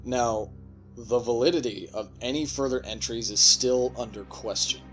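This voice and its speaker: A man narrating an official report in a measured, formal and detached way. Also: mid-range voice, steady tone, faint music behind. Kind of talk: scripted narration.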